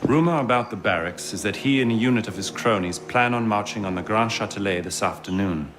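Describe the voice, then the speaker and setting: An actor playing a wry, cynical French army officer in a video game, with a dry, measured delivery. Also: male voice, fairly low pitch, close to an everyday voice. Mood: cynical, bitter. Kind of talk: video game cinematic acting. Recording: background music under the voice.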